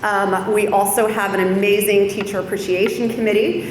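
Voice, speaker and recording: A woman's voice: medium pitch, flat delivery, moderate pace. Woman presenting in a very echoey hall. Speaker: parent-teacher organization chair. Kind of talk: informal informational presentation to an audience. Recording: very echoey hall, background noise.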